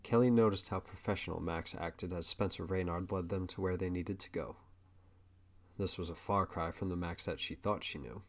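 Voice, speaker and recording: Deep-voiced male story narrator. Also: normal speed.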